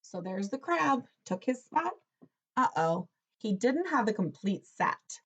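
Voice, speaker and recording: Female teacher, picture-book read-aloud: very animated intonation, unhurried, simple explanations and questions for kids. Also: a little high, muffled recording.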